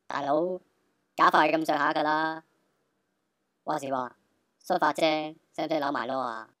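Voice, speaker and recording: Low-voiced deferential gang underling played by a woman. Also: steady tone, normal speed, fairly bright tone, no echo, clean studio sound. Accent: Hong Kong. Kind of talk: comic radio drama acting.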